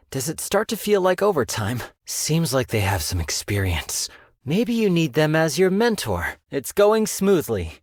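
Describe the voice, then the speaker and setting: Slick sly stockbroker dude, polite but kinda menacing, bouncy lively pitch. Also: male voice, no room sound, clean studio sound. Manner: suave, polite yet menacing, business jargon. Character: smooth scheming young male stockbroker, businesslike, sly. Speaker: game character voice lines. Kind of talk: studio game voice acting. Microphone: close studio mic.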